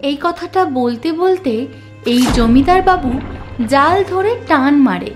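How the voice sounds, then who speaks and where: A woman narrates a children's moral story in scripted style. Her voice is high, and music plays clearly behind her. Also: lively intonation, a little slow.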